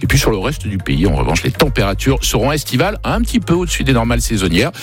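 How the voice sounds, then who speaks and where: A man's voice: medium pitch, big pitch swings, quick pace. A man presenting the weather and chatting with listeners on a live morning radio show. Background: music bed.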